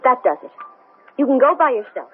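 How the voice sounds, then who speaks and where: An actress playing an anxious, strong-willed woman astronaut in a 1970s radio drama, speaking in an agitated, halting way. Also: sits high, very animated. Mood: irritable, overheated. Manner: agitated, halting, stammering speech. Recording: noisy, thin, phone-like old radio broadcast.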